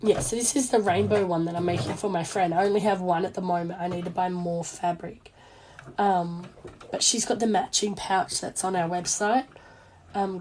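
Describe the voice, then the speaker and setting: A young Australian woman with a hoarse, failing voice chats casually and self-deprecatingly on a livestream as she works. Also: mid-range voice. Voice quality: hoarse, weakened voice. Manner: rambling, self-deprecating, informal. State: losing voice after illness. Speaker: small business owner and crafter livestreaming. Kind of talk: casual chatty livestream while sewing. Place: indoor home sewing workspace. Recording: noisy room with clicking sounds.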